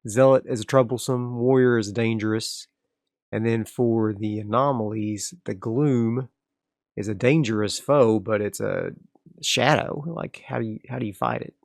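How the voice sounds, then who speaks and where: A man's voice: fairly low pitch, a little slow, vocal fry, dry recording, clean studio sound. A man playing a solo tabletop game, casually narrating and thinking aloud as he sets up his next area. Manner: relaxed, rambling, frequent restarts.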